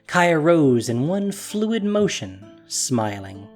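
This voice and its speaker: An audio drama narrator tells a fantasy story with a lively, rising and falling delivery. The narration is scripted and expressive, with soft music underneath. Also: medium pitch, takes it slowly.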